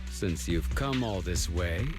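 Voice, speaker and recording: A scheming doctor villain, drawls his words slowly with lots of sly rises and dips in his pitch. He talks down to everyone in a cold, mocking tone. Loud game music plays over him. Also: expressive. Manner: slow, mocking and condescending. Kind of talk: video game voice acting. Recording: loud game soundtrack behind.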